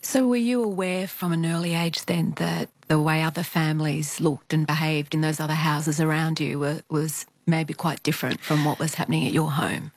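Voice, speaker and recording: A woman interviewer who hosts the program and asks gentle, probing questions. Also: low-pitched, steady tone, normal speed, hardly any pauses, dry recording. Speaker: radio interviewer, host.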